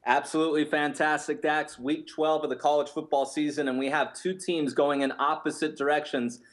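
A man in his thirties giving confident sports betting analysis in a studio, steady and packed with stats. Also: on the high side, normal speed. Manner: confident, steady, stat-heavy. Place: studio set, indoors.

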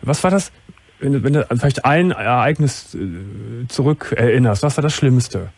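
A middle-aged man hosting a late-night radio call-in, asking gentle, probing questions with soft affirmations. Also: mid-range voice, animated, normal speed, vocal fry, husky, no echo. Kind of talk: calm empathetic phone-in talk. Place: radio studio. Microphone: studio mic, wearing headphones.

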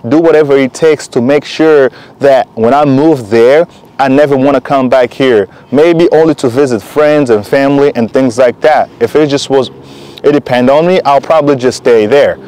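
A man's voice: mid-range voice, moderate pace, a little mellow, poor audio. A young man from Congo Kinshasa talking in a casual vlog, earnest and encouraging, with loose rambling sentences. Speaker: vlogger. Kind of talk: casual motivational vlog talk.

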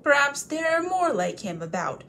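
Woman doing a troll man, slow, threatening, raised voice. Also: sits high, very animated, creaky, pushed and bright, a little hiss or hum, pitched above the performer's own voice, full character voice. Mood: menacing. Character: menacing male troll, hungry and suspicious.

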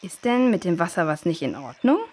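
A woman's voice: on the low side, big pitch swings, brisk, darkish tone. A woman playing a curious, helpful young girl adventurer in a children's audio drama.

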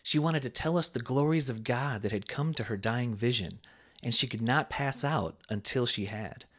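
A man reading a sermon aloud with a measured, emphatic preaching cadence. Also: mid-range voice, a bit bright.

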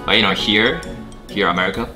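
Deep-voiced Japanese-accented young man. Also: animated, fast, music in the background. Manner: upbeat, laughs often, many fillers. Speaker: co-host. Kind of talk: casual chat. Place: indoor room on a couch.

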